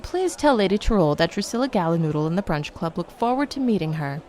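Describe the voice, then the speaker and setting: A woman's voice: on the low side, very animated, fast talker, a little mellow. A woman voicing a gracious, silver-haired female cleric with polite, formal speech.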